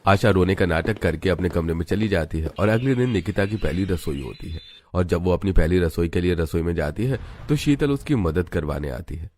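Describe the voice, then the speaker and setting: A man's voice: low-pitched, expressive, brisk, a little mellow. A man reading scripted narration for a family story.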